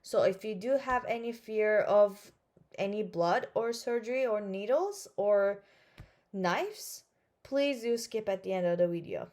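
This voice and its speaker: A woman's voice: mid-range voice, lively intonation, a little slow, darkish tone. A woman in her twenties from Turkey with a Turkish accent, narrating a personal vlog in an earnest, careful way with some hesitant fillers. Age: 20s. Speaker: student vlogger.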